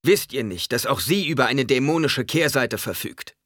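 A man voicing a fiery old desert preacher in an audiobook, proclaiming solemn warnings in a booming, declamatory way. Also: animated, moderate pace, a bit bright, no echo, clean studio sound. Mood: accusing, fervent.

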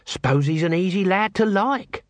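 A shifty, cowardly lowly watchman in a fantasy audiobook, acted by a man. His talk is casual and uneducated, matter-of-fact, set a bit high and recorded very dry. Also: expressive, normal speed, no room sound. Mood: bemused.

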